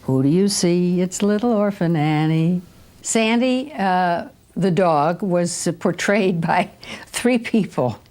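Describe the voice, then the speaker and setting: A woman's voice: lowish voice, slow. An older woman, a former radio performer, reminiscing playfully in an interview. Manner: animated, playful, sings and imitates.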